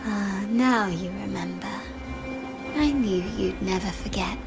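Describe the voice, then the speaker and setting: A woman's voice: medium pitch, measured pace, creaky. An actress playing a poised, commanding young noblewoman of a crime family in a video game, composed and theatrical. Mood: taunting, hushed. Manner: composed, theatrical, self-assured. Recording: loud music behind the voice. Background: loud game music.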